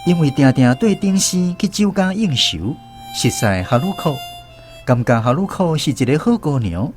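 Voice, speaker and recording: A man's voice: a little high, big pitch swings, normal speed, faint music behind. A man with a Taiwanese accent narrating a first-person story expressively for radio drama.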